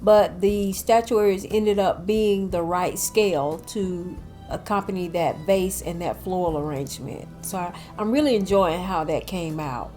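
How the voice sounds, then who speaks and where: A woman's voice: lowish voice, a little slow, darkish tone. Older woman, cold-nasal, chatty decor vlogger voice-over, faint music bed. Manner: chatty, addresses viewers as y'all. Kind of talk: casual voice-over narration.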